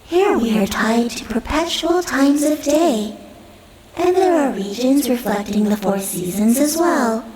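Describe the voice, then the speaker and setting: A woman playing a serene, grave elven guardian in a fantasy audio drama, speaking in a measured, solemn way. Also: sits high, animated, over a noisy crowd. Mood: solemn, calm. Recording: roomy echo, audible background noise.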